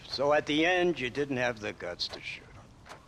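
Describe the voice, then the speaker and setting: A cruelly teasing government agent, smug and sardonic. The mid-range voice moves up and down freely in a theatrical video game performance, with slight noise behind. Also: male voice, medium pitch, lively intonation, moderate pace, fairly bright tone. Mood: smug, mocking. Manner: mocking, sardonic. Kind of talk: dramatic video game acting. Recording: faint background noise.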